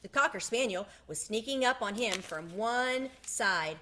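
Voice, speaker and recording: A woman reading a children's book aloud in an expressive storytelling style. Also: a little high, unhurried, slight background noise. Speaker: storybook reader.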